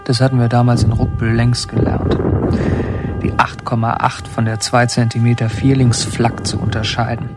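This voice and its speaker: A man's voice: mid-range voice, little pitch movement, loud music behind the voice, close to an everyday voice. An actor playing an earnest, stubborn young man of twenty-one in a naturalistic radio drama, speaking seriously. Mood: earnest narrating. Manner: serious, terse, halting. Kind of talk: naturalistic German radio drama acting and narration.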